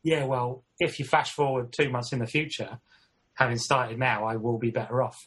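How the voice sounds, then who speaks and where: A man's voice: mid-range voice, steady tone, normal speed, fairly bright tone, breathy edge. A man chatting casually, reflective and self-deprecating about his habits. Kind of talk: casual chat.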